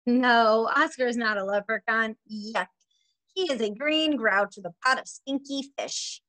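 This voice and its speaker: A woman in her forties, a preschool teacher, reading a picture book aloud to young children in an expressive, sing-song manner. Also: fairly high pitch, a little slow, poor audio. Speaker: preschool teacher and story time host. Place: small indoor room at home.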